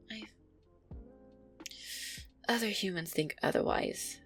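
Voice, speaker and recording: A woman playing a gentle, anxious, affectionate vampire girlfriend in an intimate roleplay audio, speaking softly, tenderly and hesitantly. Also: low voice, creaky, full character voice. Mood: flustered, hesitant. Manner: soft, tender, hesitant, sighing delivery.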